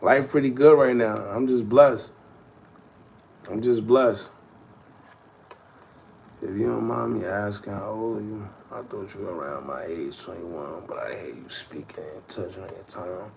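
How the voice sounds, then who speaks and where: A man's voice: lowish voice, fairly even intonation, unhurried, vocal fry, darkish tone, a little hiss or hum. A man in his thirties hosting a casual livestream chat, talking in slangy, rambling street speech and answering viewer comments. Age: 30s. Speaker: host.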